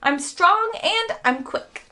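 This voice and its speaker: Woman voicing a plucky, high-pitched little mouse sailor. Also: lively intonation, slow pace, pushed and bright, full character voice. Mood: eager, bold. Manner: eager, emphatic, formally polite. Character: tiny plucky boy mouse sailor, bold and proud.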